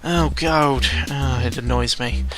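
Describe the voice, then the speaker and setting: A man's voice: mid-range voice, takes it slowly, over music. A man giving casual, rambling video game commentary in an opinionated, sweary and exasperated manner. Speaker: gaming vlogger.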